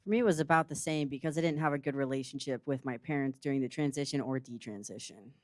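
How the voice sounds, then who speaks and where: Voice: mid-range voice, fast, darkish tone, no room sound, clean studio sound. A panelist giving blunt, matter-of-fact advice. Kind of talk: panel answers.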